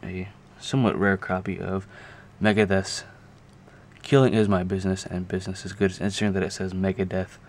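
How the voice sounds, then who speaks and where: A man giving a casual, unscripted show-and-tell to a camera, talking haltingly and thinking aloud as he goes. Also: sits low, level tone. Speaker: video creator showing a music collection. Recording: clear background noise, amateur camera audio.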